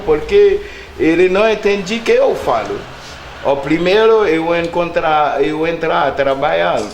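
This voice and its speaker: Slow, halting Haitian man. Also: a little high, echoey room, a little hiss or hum. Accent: Haitian Creole. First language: Haitian Creole. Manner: halting, searching for words. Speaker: interviewee. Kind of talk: interview answers.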